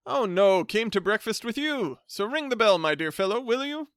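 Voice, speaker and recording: Man doing a booming hearty gentleman. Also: lively intonation, moderate pace, clean tone, dry recording, studio quality. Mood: boisterous. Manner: booming, repeats himself. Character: loud hearty middle-aged man visitor.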